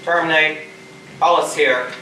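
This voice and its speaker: A man's voice: a little high, steady tone, a little slow, bright, forceful tone, a little hiss or hum. A man playing a wry, shaken spaceship captain in an amateur stage play, recorded from far off in an echoey theater. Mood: brisk, wry. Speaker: actor in a school theater production. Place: theater stage, picked up from a distance.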